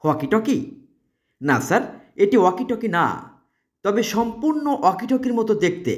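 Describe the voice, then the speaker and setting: A man with a Bangladeshi accent presenting a product in an emphatic promotional sales pitch, repeating his selling phrases. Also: sing-song, moderate pace.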